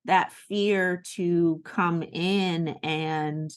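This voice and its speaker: American woman, middle-aged, slightly low pitch, podcast guest, casual reflective talk, expressive delivery. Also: very slow, smooth. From United States.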